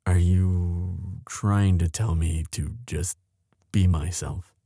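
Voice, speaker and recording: A man playing an anxious, protective young prince in love, speaking earnestly and haltingly in a romantic roleplay audio drama. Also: low-pitched, steady tone, gravelly, warm tone, slightly rough, dry recording, clean studio sound, exaggerated voice. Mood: conflicted, earnest. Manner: earnest, halting, tender delivery.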